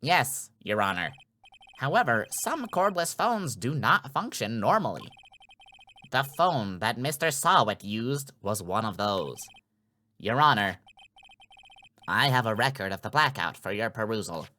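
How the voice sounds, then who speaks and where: A man's voice: mid-range voice, very animated, a little slow, sharp tone, a little hiss or hum, voice pushed down. A man voicing a composed courtroom prosecutor in a video game, with a formal, deferential manner. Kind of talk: dramatic video game dubbing.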